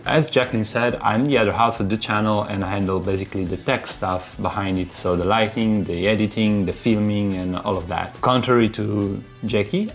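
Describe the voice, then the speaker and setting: Deep-voiced man co-hosting. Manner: plain, slightly hesitant with fillers. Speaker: co-host, tech side. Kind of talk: casual presentation to camera.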